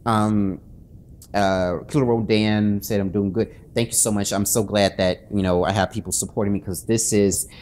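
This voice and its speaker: A man's voice: on the low side, steady tone, a little mellow, slight background noise. A man talking casually to his viewers late at night, nervous and sleepless, stumbling over restarts and fillers. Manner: halting, many restarts and fillers; nervous, self-conscious. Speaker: author and channel host. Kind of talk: casual talk to camera.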